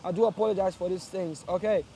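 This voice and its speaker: A man's voice: sits high, steady tone, fast talker, warm tone, slight background noise, low-quality recording. A young man from Liberia, speaking earnestly to his followers in a casual live address, often repeating and restarting phrases. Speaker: streamer.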